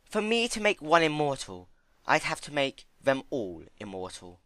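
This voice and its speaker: A man's voice: a little high, very animated, moderate pace, a bit bright, no room sound, pitched above the performer's own voice. A man voicing a refined, ladylike female pony with a genteel, thoughtful and dramatic way of speaking in a story reading. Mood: musing. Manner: genteel, musing. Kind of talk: dramatic fan-fiction reading.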